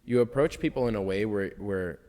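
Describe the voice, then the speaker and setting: A man's voice: lowish voice, a little fast, mellow, long reverb tail. A young man co-hosting a casual podcast, talking earnestly and reflectively, sometimes acting out what other people say. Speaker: podcast co-host.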